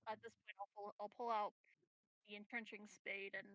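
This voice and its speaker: A woman with a mid-pitched voice talks through her move in an online tabletop game. She is casual and tentative, and the sound is dry with no room to it. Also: level tone, normal speed, fairly bright tone, no room sound, poor audio. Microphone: voice call over the internet.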